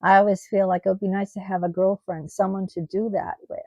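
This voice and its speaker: Middle-aged woman, gravelly, voice going. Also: mid-range voice, little pitch movement, a little mellow, no room sound. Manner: halting, with many ums and restarts. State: losing her voice. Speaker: vlogger. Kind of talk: personal vlog monologue.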